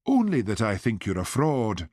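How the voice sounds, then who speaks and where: A man's voice: fairly low pitch, huge pitch swings, moderate pace, a little mellow, no room sound. A man voicing a shrewd, skeptical policeman who asks dry, pointed questions. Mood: probing.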